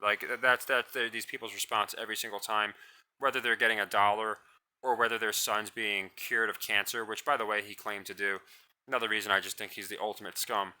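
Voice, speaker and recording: A man's voice: fairly low pitch, a little fast. A man ranting in a blunt, scornful way in a solo commentary, rambling and restarting his sentences. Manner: describes own voice as monotonous. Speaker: commentary channel host.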